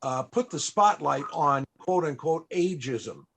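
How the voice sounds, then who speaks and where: Guy moderating a panel, voice a bit high, chatty but deliberate and emphatic. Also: expressive, gravelly, a bit bright. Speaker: panel interviewer, entertainer. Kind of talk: conversational panel interviewing.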